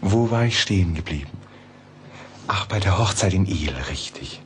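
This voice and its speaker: Deep-voiced, cultured gentleman letter-writer. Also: lively intonation, slight background noise. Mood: reflective, absorbed. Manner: measured, polite, contemplative. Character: cultured, reflective Parisian gentleman sketching and writing letters. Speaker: narrator and character. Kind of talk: literary radio play narration and dialogue.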